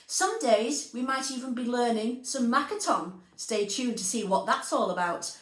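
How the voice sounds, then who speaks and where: A woman presenting and reading stories to young children, speaking expressively and deliberately, addressing them directly. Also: a little high. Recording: roomy echo, music underneath.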